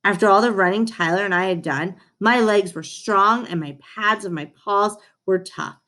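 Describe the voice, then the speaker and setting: A woman reading a children's storybook aloud in an expressive manner, addressing young listeners. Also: mid-range voice, moderate pace.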